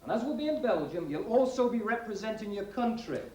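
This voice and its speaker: An actor playing a bossy schoolteacher who briefs a boys' team, listing rules point by point. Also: male voice, high voice, animated, a little fast, darkish tone, reverberant, a little hiss or hum, poor audio. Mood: lecturing. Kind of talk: 1980s TV drama acting.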